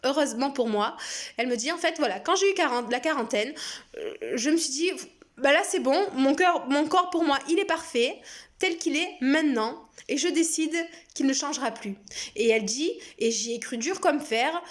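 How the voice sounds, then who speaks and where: A woman's voice: high-pitched, normal speed. A French woman in her thirties speaking casually and frankly to her viewers, with many hesitations and fillers. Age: 30s. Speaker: personal development vlogger. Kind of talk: casual spoken monologue.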